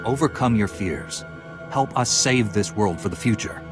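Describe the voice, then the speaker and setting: A man plays a young Jedi knight from a video game. His voice sits fairly low and stays calm and firm. Prominent music runs under his lines. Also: moderate pace, natural voice. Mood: composed, firm. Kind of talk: scripted game cutscene dialogue. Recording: loud music under the dialogue.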